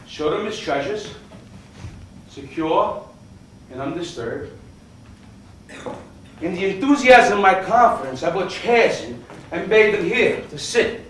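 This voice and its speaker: A man performing a theatrical horror monologue as a guilt-maddened murderer, melodramatic and intense. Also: fairly high pitch. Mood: feigned calm, uneasy. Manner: intense, melodramatic, swinging from hushed to shrieking. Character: guilt-maddened male murderer, feigning calm then frantic. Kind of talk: theatrical dramatic monologue of a classic horror tale. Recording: roomy, distant mic, faint noise.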